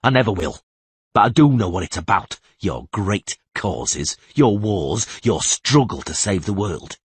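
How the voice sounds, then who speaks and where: A man voicing a wounded monster hunter in a fantasy audiobook, slow, bitterly sarcastic and coldly angry. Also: fairly low pitch, very animated, husky, no room sound, exaggerated voice. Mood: cold sarcasm. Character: wounded male monster hunter, bitter, sardonic, cold anger.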